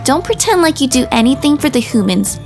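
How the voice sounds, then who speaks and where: A woman as a high-pitched, defensive female dog. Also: sing-song, fairly quick, darkish tone, soft background music. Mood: indignant. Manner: blunt, defensive. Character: wary, protective, world-weary female rescue dog. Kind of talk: character acting in a visual novel.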